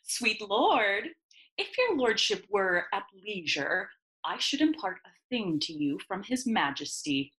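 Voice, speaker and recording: Silly foppish royal courtier, gushy n obsequious, voice swoops all over, drawn-out words, shakespeare comedy. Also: female voice, medium pitch, big pitch swings, a bit bright, low-quality recording. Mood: gushing. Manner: obsequious, flowery, affected, gushing. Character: Osric, foppish, fawning, giggly royal courtier. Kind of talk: comic Shakespeare audio play acting.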